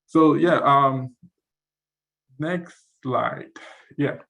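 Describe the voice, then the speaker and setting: Nigerian man giving a halting tech talk. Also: medium pitch, gravelly. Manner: relaxed, halting with repeated words. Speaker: presenter. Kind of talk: info session talk.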